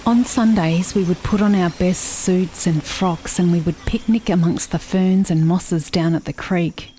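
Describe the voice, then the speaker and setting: An actress in a radio play playing a grieving, watchful country sister, narrating in a reflective, measured way. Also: female voice, on the low side, mellow, a little hiss or hum, natural voice, low-quality recording. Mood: wistful.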